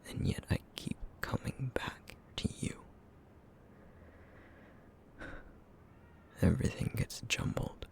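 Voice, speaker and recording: A man acts out a brooding creature who feeds on essence, haunted by guilt and sharp with bitter sarcasm. His low voice sinks into a gravelly near-whisper and moves slowly, in the intimate style of an ASMR roleplay drama. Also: full character voice. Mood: bitter, weary. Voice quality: breathy, half-whispered, gravelly.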